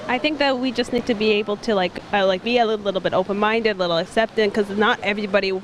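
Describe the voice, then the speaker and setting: An earnest speaker with a mid-range voice answers, halting at first, then flowing. Faint street noise carries behind. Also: medium pitch, steady tone, rough recording. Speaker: interviewee. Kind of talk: casual street interview answer.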